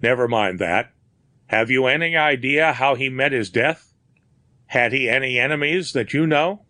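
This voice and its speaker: A man voicing a worried police officer who questions people briskly. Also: mid-range voice, pushed and bright, dry recording, voice pushed up, close to an everyday voice.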